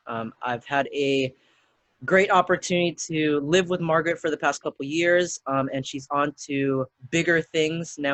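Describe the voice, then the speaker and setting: A man's voice: a little high, fairly bright tone, dry recording. A man in his twenties hosting a casual podcast, friendly and hesitant, often restarting with "um". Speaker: podcast host.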